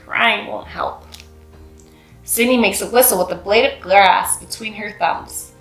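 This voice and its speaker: A woman's voice: mid-range voice, unhurried, fairly bright tone, faint music behind. A woman reading a children's picture book aloud, narrating expressively and acting out the dialogue. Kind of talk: scripted read-aloud narration with character dialogue. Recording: roomy, echoing sound.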